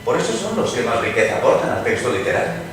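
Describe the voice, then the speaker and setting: A man's voice: medium pitch, big pitch swings, fast, close to an everyday voice. Brooding aristocratic poet male actor, theatrical, sweeping intonation, off-mic in a noisy reverberant hall. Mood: dark relish. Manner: theatrical, emphatic. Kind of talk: theatrical radio drama reading. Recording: echoey hall, distant mic, background noise.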